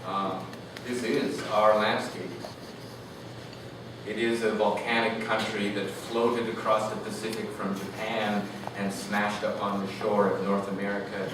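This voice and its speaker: A man speaking as a poet at a live reading, in a measured, deliberate manner. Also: fairly low pitch, little pitch movement. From Canada. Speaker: poet reading from own work. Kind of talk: live poetry reading with informal anecdotes. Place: echoey room at a live reading. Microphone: distant microphone. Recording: distant, echoey room with noisy background.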